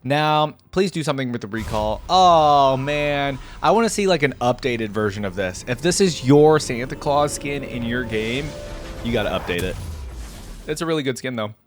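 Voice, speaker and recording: A man's voice: medium pitch, lively intonation, normal speed. A man giving casual reaction commentary in a conversational way, thinking aloud as he goes. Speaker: gaming video creator, reactor. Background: music.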